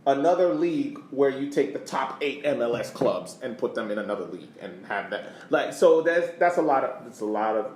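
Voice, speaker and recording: A man co-hosting a casual sports history discussion, explaining haltingly and often repeating words. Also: medium pitch, animated, a little mellow. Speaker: podcast co-host. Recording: echoey room, faint background noise.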